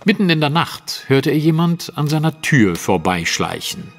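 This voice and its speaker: Confident detective explaining a case, very animated and precise, audio drama with music behind. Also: male voice, fairly high pitch, moderate pace, gravelly. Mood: absorbed, dramatic. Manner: articulate, precise, dramatic storytelling. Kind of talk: German audio drama acting, narrative monologue.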